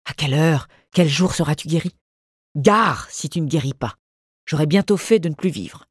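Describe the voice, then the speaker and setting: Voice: mid-range voice, normal speed, slightly rough, no echo, clean studio sound, natural voice. Reader voicing a demanding, anxious older husband. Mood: pleading. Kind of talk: literary audiobook reading.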